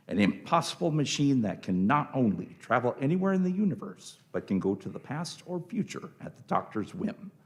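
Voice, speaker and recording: A man narrating a scripted radio play in a theatrical, deliberate way. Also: mid-range voice.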